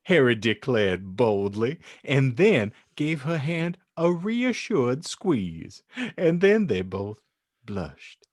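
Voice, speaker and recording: Guy podcast host reading stuff out, wry and mock-solemn, very animated pitch, close dry sound. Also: takes it slowly, no room sound. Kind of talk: comedic banter and dramatic story reading.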